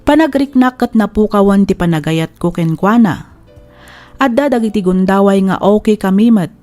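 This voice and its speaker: A woman's voice: medium pitch, moderate pace, warm tone. A woman hosting a drama program, reading a scripted letter and giving advice. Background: music under the voice.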